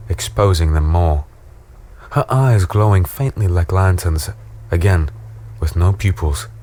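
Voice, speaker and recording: A man playing a battered, weary warrior who narrates a dark fantasy audio drama in a grim, halting, brooding way. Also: low-pitched, a little hiss or hum. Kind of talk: dramatic first-person scripted narration. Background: music.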